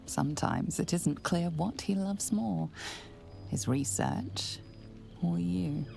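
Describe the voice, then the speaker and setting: A deep, gravelly game narrator. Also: female voice, takes it slowly, heavily acted. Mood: calm. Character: female game narrator, calm, storybook tone. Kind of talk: scripted narration. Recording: game narration with soft music.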